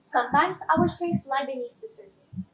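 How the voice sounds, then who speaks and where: A woman acts out a brave young island heroine with a high voice. She is cheerful and curious, telling her own story in a chatty, theatrical character monologue. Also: normal speed, close to an everyday voice, rough recording. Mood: hopeful. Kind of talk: first-person character monologue.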